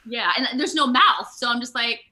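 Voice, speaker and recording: An American woman chatting casually as an interview guest, telling stories in an animated way. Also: on the high side, moderate pace, slight background noise, poor audio. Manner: animated storytelling, laughs often, acts out moments. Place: remote video call.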